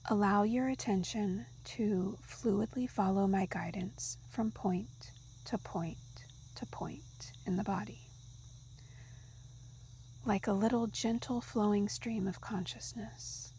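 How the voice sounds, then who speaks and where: A woman guiding a meditation in a soft, soothing, breathy, half-whispered voice. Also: mid-range voice, fairly even intonation, takes it slowly, a little hiss or hum, poor audio. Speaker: yoga nidra guide. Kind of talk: guided meditation narration.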